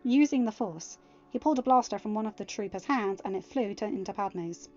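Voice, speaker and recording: Woman reader, mid-pitch, even storytelling; muffled home recording with soft music underneath. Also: a little fast. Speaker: fanfiction audiobook reader. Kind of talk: storybook narration, casual outro chat.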